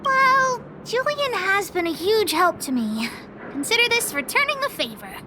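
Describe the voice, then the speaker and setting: A woman voicing a bossy, excitable little girl who leads a gang of kids, self-important and cheeky, in a video game. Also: very high-pitched, moderate pace, heavy background noise. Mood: confident, brisk. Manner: self-important, cheeky, refers to herself by name. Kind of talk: video game character voice acting. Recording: music under the voice. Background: background music.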